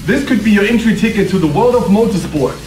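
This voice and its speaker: An echoey, distant recording of a man acting a brash male racing promoter over music. His pitch runs high and swings widely, selling hard in a cheesy, bombastic game-cutscene manner. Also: expressive, fast, a little mellow.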